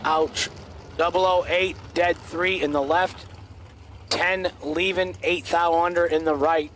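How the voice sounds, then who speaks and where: Guy calling drag races outdoors, voice going hoarse, kinda high and flat, faint track noise behind. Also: slow pace. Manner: clipped, terse calls of lanes, dial-ins and reaction times. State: losing his voice, hoarse. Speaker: drag race announcer. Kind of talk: race commentary.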